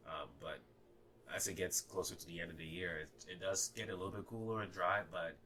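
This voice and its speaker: A young man from Ghana, a native Ga speaker, with a very low, bright and forceful voice and a slight Ghanaian accent. He talks hesitantly in a casual podcast chat, full of fillers and restarts. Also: brisk, a little hiss or hum. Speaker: guest.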